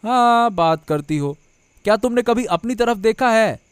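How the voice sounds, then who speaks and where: An earnest, protective young husband from a cartoon, played by a man. His voice is high for a male, and he speaks emphatically, often doubling back on his words. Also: expressive, unhurried, clean tone, no echo, clean studio sound. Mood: defiant, exasperated. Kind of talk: Hindi cartoon dialogue acting.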